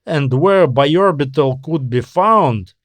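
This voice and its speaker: A man's voice: a little high, expressive, slow pace, dry recording. A man voicing a confident space-station officer who explains things emphatically in an audiobook. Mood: wry, confident. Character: confident male space-station officer reporting to his boss. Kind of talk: audiobook character dialogue.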